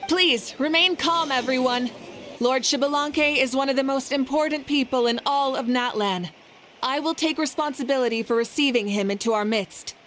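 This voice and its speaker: A woman voicing a courteous young leader and fire deity in a video game, speaking formally and sincerely in measured phrases. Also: sits high, a bit bright, slight background noise. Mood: commanding, calm.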